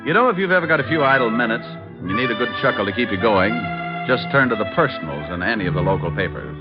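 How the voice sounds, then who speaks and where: A man's voice: lowish voice, lively intonation, fast talker, over loud music, lightly acted. An American man playing a sardonic, world-weary private detective in old-time radio drama, dry and flippant. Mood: amused, wry. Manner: dry, flippant, sarcastic asides. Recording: muffled vintage radio recording.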